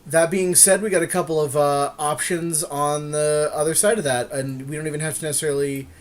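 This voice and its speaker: A man's voice: on the high side, steady tone, fairly quick. A man co-hosting a podcast, giving casual, agreeable and conversational commentary on a card game draft. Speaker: podcast co-host.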